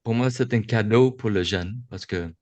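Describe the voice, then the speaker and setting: A man from the United States gives a reflective Buddhist talk to young adults over a video call, slow and halting, often restarting his sentences. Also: fairly low pitch, darkish tone, no echo. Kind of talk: reflective dharma talk on a webinar. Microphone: video call microphone.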